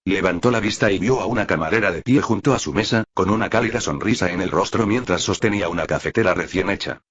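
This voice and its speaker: A man's voice: low-pitched, fast talker, fairly bright tone, lo-fi sound, a little hiss or hum. A man reading scripted fiction aloud as an audiobook-style narrator.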